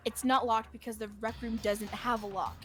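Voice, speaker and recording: A woman voicing a composed, blunt teenage girl detective in a visual novel read-aloud, measured and matter-of-fact. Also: a little high, fairly even intonation, a bit bright, faint music behind, natural voice. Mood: cool, calm.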